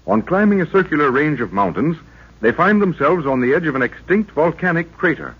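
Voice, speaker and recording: A man's voice: on the high side, very animated, fairly quick, breathy edge. A man narrating a 1930s radio serial, with an ominous, theatrical delivery as he recaps the story so far. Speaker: announcer. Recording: dull lo-fi old radio recording.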